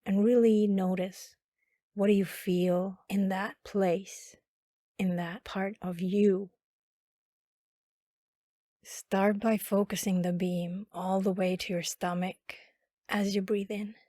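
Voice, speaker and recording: A woman guiding a body scan meditation in a calm, instructional manner with long pauses. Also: mid-range voice, no echo, studio quality. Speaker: meditation guide.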